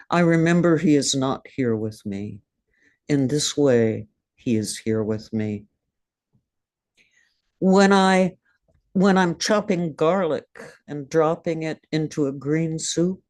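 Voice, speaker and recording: An older woman reading her own poem over a video call, soft and halting. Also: sits low, dry recording. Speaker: poet reading her work. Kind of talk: poetry reading and casual chat.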